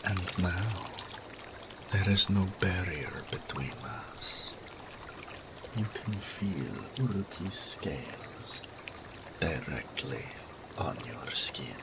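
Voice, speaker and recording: A man playing a seductive, possessive and doting male naga in an intimate romantic audio roleplay, speaking slowly in soft murmurs that drop into whispers. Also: low voice, expressive, background noise, heavily acted. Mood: seductive, soothing. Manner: slow, third-person speech, soft murmurs dropping to whispers.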